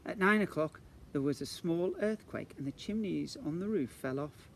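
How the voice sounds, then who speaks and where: A man's voice: on the high side, animated, moderate pace, vocal fry, a bit bright. A man, a teacher, reading a storybook aloud to young children outdoors, playfully adding questions and asides. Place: outdoors in a garden. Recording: faint outdoor background noise.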